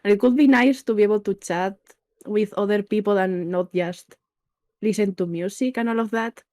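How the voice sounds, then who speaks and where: A woman's voice: mid-range voice, moderate pace, darkish tone. A woman from Spain with a Spanish accent, speaking English as a second language. She is a friendly guest who laughs easily and sometimes searches for words. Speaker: guest, VTuber artist. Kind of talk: casual interview chat.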